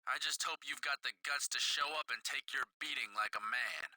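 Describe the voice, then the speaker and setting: An actor playing a boastful, menacing pro wrestler issuing a challenge in a video game cutscene. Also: male voice, mid-range voice, moderate pace, natural voice, poor audio. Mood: boastful menace.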